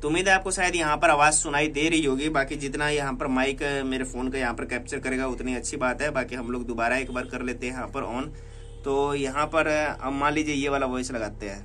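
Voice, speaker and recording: A man's voice: medium pitch, fairly even intonation, fairly quick, sharp tone, slight background noise. A man with an Indian accent narrating a casual hands-on tech tutorial, explaining repetitively and mixing in English words. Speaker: tech vlogger demonstrating a phone feature.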